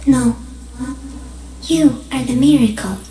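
A woman voicing an ancient, gentle, alien stick insect in a video game, speaking solemnly in measured, poetic, halting phrases. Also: high voice, creaky, noisy background, full character voice. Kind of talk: dramatic video game dialogue. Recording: echoing voice over game music. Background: music.

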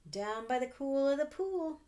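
A woman voicing an exuberant, playful dancing frog from a picture book, exclaiming with drawn-out squeals. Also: sits high, expressive, dark tone. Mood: gleeful.

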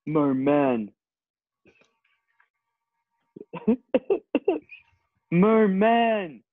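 A man's voice: a little high, lively intonation, very slow, no echo. A man playing a dim, earnest, vain young male model in an amateur comedy script read over video call. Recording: thin phone-like video-call audio.

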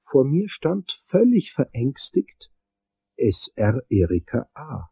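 A slow, husky hard-boiled detective. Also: male voice, medium pitch, sing-song, a little mellow, no room sound, heavily acted. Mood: suspenseful. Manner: deliberate, theatrical. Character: hard-boiled male detective telling his case. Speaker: narrator and lead actor. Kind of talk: noir first-person crime drama narration.

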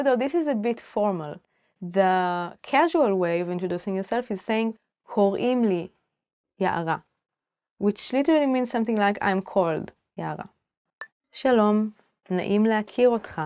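A woman's voice: mid-range voice, darkish tone, no room sound. Israeli woman teaching, close dry sound. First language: Hebrew. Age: 20s to 30s. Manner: clear, instructional, repeats phrases. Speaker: language teacher. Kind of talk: scripted language lesson to camera. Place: indoor studio.

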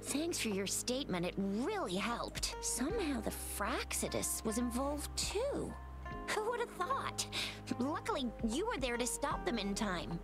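An actress voicing an eager, upbeat young woman patrol officer who talks in a lively, chatty way in a game. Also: sits high, unhurried, over music.

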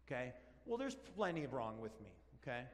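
A man's voice: mid-range voice, moderate pace, slight background noise. Spanish-native man preaching in a hall. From Argentina. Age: 40s. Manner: animated and joking, walks around stage. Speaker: pastor. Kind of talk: sermon. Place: large church sanctuary. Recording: long church hall reverb.

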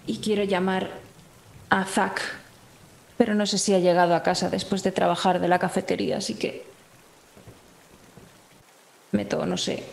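Creaky-voiced Spanish woman, hesitant roleplayer. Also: mid-range voice, level tone, moderate pace, long pauses, roomy sound, poor audio. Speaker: player. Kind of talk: casual tabletop roleplay, describing her character's actions.

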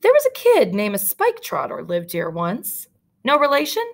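A woman's voice: on the high side, huge pitch swings, normal speed, gravelly, pitched above the performer's own voice, full character voice. A woman voicing a nosy, folksy small-town hotel clerk from a storybook, wary of strangers and emphatic. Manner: folksy, emphatic, repetitive phrasing.

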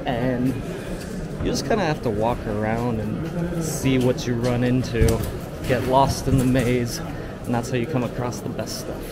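A man's voice: medium pitch, a little slow. Young male travel vlogger, upbeat and chatty, arm's-length camera, heavy background noise. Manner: upbeat, chatty, laughs often. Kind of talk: casual walking vlog. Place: large covered market, indoors. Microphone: action camera at arm's length. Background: busy market noise.

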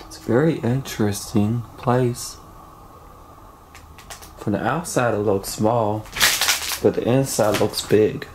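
A man in his late twenties exploring an abandoned house at night, talking low to his handheld camera. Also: slow pace, pauses often. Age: late 20s. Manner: low running commentary to camera. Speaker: paranormal urban explorer. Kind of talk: solo night exploration vlog. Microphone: handheld camera mic. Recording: noisy handheld camera audio.

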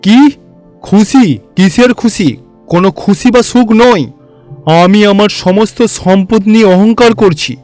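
A man's voice: sits high, slow, darkish tone, faint music behind, pitched above the performer's own voice. A man voicing a vain, boastful god of wealth in a theatrical cartoon fairy-tale style. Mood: proud, gloating. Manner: pompous, gloating, theatrical. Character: boastful, vain rich god of wealth, fawning before superiors. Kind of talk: Bengali animated fairy-tale voice acting.

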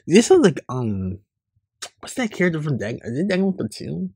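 A man reading a webcomic aloud as a host, excitable, with casual asides and stumbles over hard names. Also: fairly high pitch, moderate pace, gravelly, husky, no room sound. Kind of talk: reaction read-along with casual asides.

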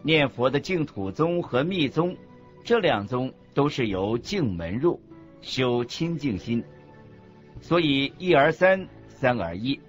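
A man reading scripted religious teaching as a narrator, in a deliberate, instructive manner. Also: mid-range voice, sing-song. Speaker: narrator of a Buddhist teaching radio program. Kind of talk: scripted didactic narration in a radio program. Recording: muffled lo-fi audio with music underneath. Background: background music.

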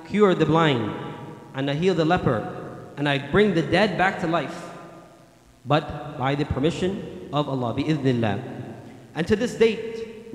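A man in his thirties preaches a Friday sermon at a fast clip with a fairly high voice. He stresses points by repeating them and breaks into rapid chanted Quranic recitation. The sound comes off a stand mic in a big mosque hall that rings with long reverb. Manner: recites Quranic Arabic in a fast chant between English explanation; emphatic, repetitive preaching with gestures. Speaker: imam giving Friday sermon. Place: large mosque prayer hall.

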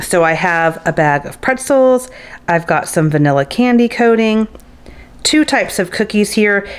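A woman's voice: medium pitch, clean tone. A woman in her thirties chatting casually through a grocery haul vlog at home, upbeat and friendly, with little laughs and fillers. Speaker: vlogger. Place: home kitchen and living room.

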